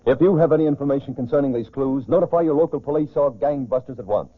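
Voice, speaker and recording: A man's voice: medium pitch, quick pace, slight background noise. A man announcing a crime show in a dramatic, emphatic 1940s radio style. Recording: muffled old 1940s radio broadcast.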